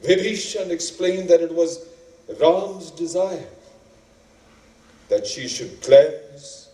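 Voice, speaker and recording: A man narrating an epic as a stage actor, dramatic and deliberate, with weighty pauses. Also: a little high, warm tone. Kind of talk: theatrical epic storytelling. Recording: roomy, echoing, distant microphone.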